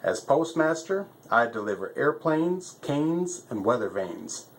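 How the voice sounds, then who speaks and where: A man's voice: medium pitch, big pitch swings, measured pace, gravelly, pitched above the performer's own voice. Man playing a fussy, rambling stork postmaster. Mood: boastful, reciting. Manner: fussy, rambling, reciting rhyming lists. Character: busy, boastful, talkative male stork postmaster.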